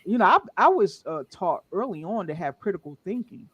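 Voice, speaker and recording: A man's voice: sits high, lively intonation, a little fast, fairly bright tone, husky, no room sound, dull audio. An American man co-hosting a casual livestream chat, chatty and earnest, greeting viewers in the chat. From United States. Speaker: co-host of a gaming podcast.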